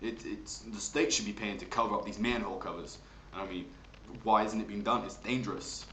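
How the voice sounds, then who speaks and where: Complaining New York guy acted by a man, low pitch, insistent, comic, roomy sound. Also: fairly even intonation, fairly quick, a bit bright, close to an everyday voice. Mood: indignant. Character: indignant, worried working-class New York dad. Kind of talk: comic character monologue. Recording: roomy, noisy home recording.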